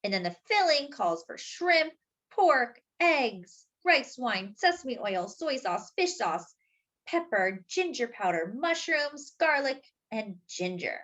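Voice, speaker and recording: Animated, high-voiced woman reading a picture book. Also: dull audio. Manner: expressive, with drawn-out words and asides to young listeners. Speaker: children's storytime host. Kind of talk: picture book read-aloud for young children.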